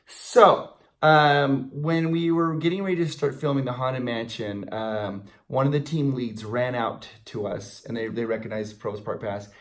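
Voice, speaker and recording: A man's voice: medium pitch, fairly even intonation, a little slow. A middle-aged American man co-hosting a video, chatting casually and retelling stories with quoted dialogue. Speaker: co-host of a theme park video channel. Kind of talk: casual chat, anecdotal storytelling.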